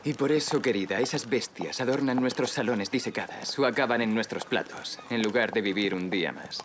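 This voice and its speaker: An actor playing a cold, bitter marquis of revolutionary France in an audio drama, speaking in a haughty, cutting, formal manner. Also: male voice, medium pitch, brisk, noisy background, natural voice. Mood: scornful. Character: cold, bitter marquis fleeing the guillotine, divorced husband. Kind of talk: period audio drama acting.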